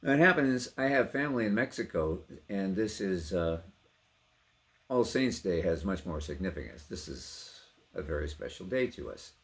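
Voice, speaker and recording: A man's voice: sits low, very animated, normal speed. A middle-aged man giving a casual personal introduction to a reading, with emotive, theatrical emphasis. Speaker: poetry reader and trained actor.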